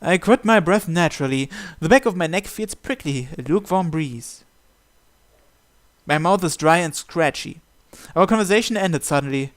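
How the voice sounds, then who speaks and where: A man's voice: a little high, clear, resonant tone. A man reading visual novel text aloud as a narrator, with game music behind him.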